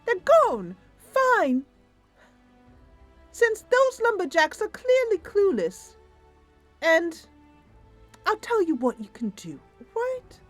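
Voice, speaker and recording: A woman's voice: extremely high pitch, very animated, slow, long pauses, faint music behind, pitched above the performer's own voice, heavily acted. A woman voicing a petulant, distrustful female nixie, a little fae who complains and haggles in a tabletop-style game roleplay. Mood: indignant. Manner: sulky, complaining, bargaining.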